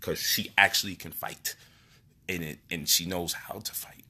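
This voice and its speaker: A man's voice: low voice, fairly even intonation, normal speed, sharp tone, slightly rough. A man hosting a livestream, teasing his partner in playful banter and laughing often. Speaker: host.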